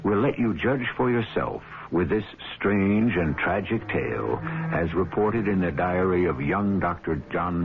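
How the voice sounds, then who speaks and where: Male mystery radio host: low, dramatic, deliberate, narrow-band vintage sound. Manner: theatrical, deliberate, addressing the listener. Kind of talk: dramatic scripted radio introduction. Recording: tinny old radio broadcast with music. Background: music.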